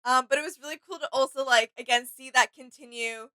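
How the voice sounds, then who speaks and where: Girl co-hosting a fan reaction, really high voice, close dry sound, enthusiastic n agreeable, casual chat. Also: steady tone, quick pace, bright, forceful tone, no room sound. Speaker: reaction video co-host.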